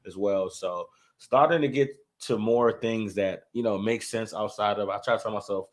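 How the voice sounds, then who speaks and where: A man's voice: fairly low pitch, normal speed. A man in his thirties, a musician guest in a casual interview, laid-back, laughing often and repeating phrases. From Silver Spring, Maryland.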